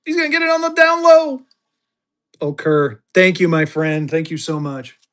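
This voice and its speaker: A man hosting a live-stream auction of paper money, chatty, jokey and excitable. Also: fairly high pitch, normal speed. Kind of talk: casual live-stream selling chat.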